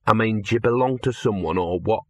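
Storybook creature played by a man: streetwise, jealous little mongrel, sarcastic and sneering, forceful edge, close dry sound. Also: lowish voice, moderate pace, no echo. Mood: gruff, chatty. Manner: withering, sneering, muttering out of the corner of the mouth. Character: scruffy, cynical, sarcastic small male talking mongrel.

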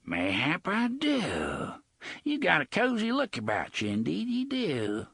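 Old leering pirate put on by a man, crooning and sly, high swooping pitch, husky tone. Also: wildly swooping pitch, measured pace, fairly bright tone, no echo, clean studio sound, heavily acted. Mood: menacing, crooning. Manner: crooning, wheedling, with old-fashioned slang.

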